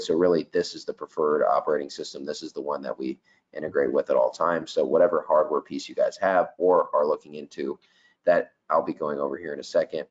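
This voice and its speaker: A man giving a casual software product presentation in a webinar, with lots of "um" and "you know". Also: a bass voice, lo-fi sound. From not Danish. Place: office.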